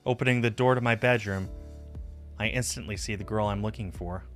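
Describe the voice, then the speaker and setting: A man's voice: fairly low pitch, brisk, fairly bright tone. A man streaming a visual novel, reading the narration aloud and adding casual asides. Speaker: game streamer. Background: music.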